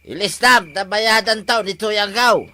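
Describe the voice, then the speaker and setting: A man plays a blustering village tough with an Ilocano Filipino accent. The voice is bright and forceful, combative and defiant in broad comedy-skit style. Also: sits high, a little slow, exaggerated voice. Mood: irritated, defiant. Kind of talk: amateur barangay comedy skit acting.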